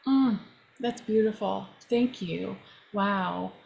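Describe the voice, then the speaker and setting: A woman hosting an interview in an unhurried, gently appreciative, measured way. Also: medium pitch, vocal fry, a little hiss or hum, low-quality recording. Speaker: podcast host.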